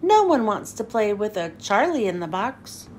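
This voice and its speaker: A woman's voice: mid-range voice, big pitch swings, normal speed, darkish tone, slight background noise, voice pushed down, natural voice. A woman voicing a forlorn male jack-in-the-box sentry in a storybook, formal and plaintive.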